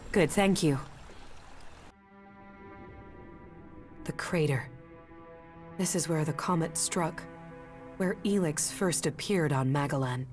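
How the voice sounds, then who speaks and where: A low-voiced, earnest mage. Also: female voice. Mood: focused. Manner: earnest, measured. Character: earnest, thoughtful female mage, awed and uneasy about her powers. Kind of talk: video game cutscene acting. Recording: echoey reverberant space, music behind.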